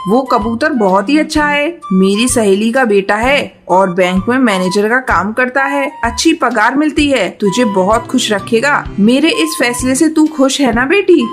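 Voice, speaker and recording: A woman's voice: fairly high pitch, expressive, unhurried, few pauses. A woman voicing a blunt, bossy middle-aged mother bird in a cartoon, with an emphatic delivery. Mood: fond, persuasive. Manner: scolding, emphatic. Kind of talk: Hindi cartoon voice acting. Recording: music behind the voice.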